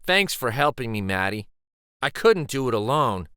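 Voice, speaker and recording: A man's voice: medium pitch, sing-song, normal speed, bright, forceful tone, smooth, no room sound, clean studio sound. A man voicing a proud, loyal young girl in a children's storybook. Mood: friendly.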